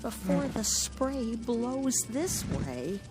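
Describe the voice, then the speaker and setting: A woman's voice: on the high side, sing-song, very slow, music in the background, full character voice. An actress playing a frail, wistful elderly woman in a radio drama. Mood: doubtful, curious.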